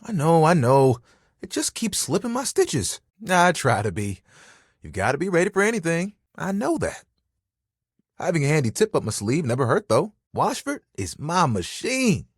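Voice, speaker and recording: Animated, easygoing but insecure game buddy. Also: male voice, on the high side, moderate pace, slightly rough, no echo, clean studio sound, heavily acted. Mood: enthusiastic, crafty. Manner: casual, earnest, hesitant, theatrically expressive. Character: living towel, easygoing, sensitive, insecure young male buddy. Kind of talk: video game character voice acting.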